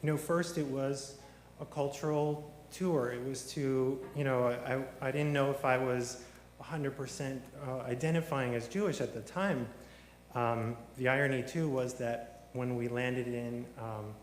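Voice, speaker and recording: A man's voice: mid-range voice, fairly even intonation, measured pace, a little hiss or hum. A man telling his personal story at a service, rambling with many ums and restarts and slipping in wry jokes. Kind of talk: informal testimony at a service. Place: large synagogue sanctuary. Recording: echoey hall with long reverb.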